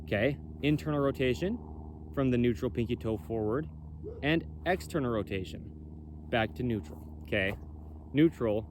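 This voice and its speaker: A man with a loud, carrying voice giving an impromptu explainer as a presenter, bold, emphatic and repetitive in how he talks. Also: medium pitch, normal speed, background noise. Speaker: presenter for a clothing company. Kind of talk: impromptu explainer on body mechanics.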